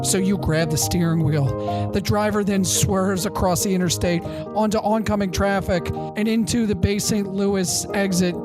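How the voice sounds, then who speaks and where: Woman narrating dramatically over loud guitar music. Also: medium pitch. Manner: dramatic, second-person narration. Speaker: advertisement narrator. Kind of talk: dramatic storytelling in a parody radio ad.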